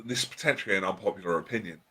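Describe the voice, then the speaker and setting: Male livestream host, husky tone, thoughtful and halting delivery, relaxed chat. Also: low voice. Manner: thoughtful, hesitant restarts. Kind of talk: casual discussion.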